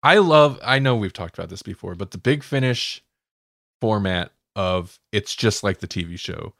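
A man's voice: lowish voice, animated, normal speed, gravelly, a bit bright, no echo, studio quality. A man hosting a podcast, chatting casually as he reviews stories. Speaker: podcast host.

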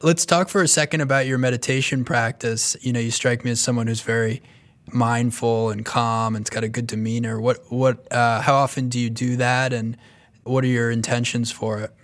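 A man hosts an interview in a relaxed, conversational manner. His voice sits at a middle pitch. He often restarts his questions partway through. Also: normal speed. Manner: easygoing, with small restarts. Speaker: podcast host.

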